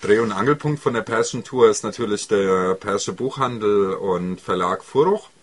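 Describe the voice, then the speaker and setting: Man, fairly low pitch, tour organiser, explanatory interview talk.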